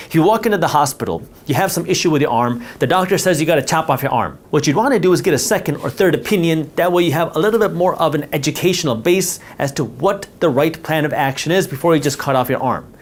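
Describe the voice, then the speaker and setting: A man with a somewhat high voice explains wealth protection at a whiteboard. His first language is Punjabi. He talks with emphasis and repeats words to drive points home. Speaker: finance educator.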